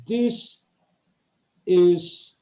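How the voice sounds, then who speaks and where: A man with a Turkish accent, a native Turkish speaker, giving an academic lecture as a translator, often pausing and searching for words. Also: sits high, warm tone. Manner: hesitant, searching for words with many pauses.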